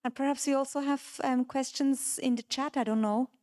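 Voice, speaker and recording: A woman moderating a public panel, a non-native English speaker, friendly and filling her pauses with "um". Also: high voice, steady tone, mellow. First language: not English.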